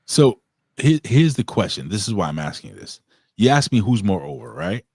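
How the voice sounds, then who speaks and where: A man with a New York accent hosting a casual wrestling review chat, excitable and swearing freely. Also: lowish voice, normal speed, slightly rough, dry recording, clean studio sound. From Puerto Rico. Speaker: show host.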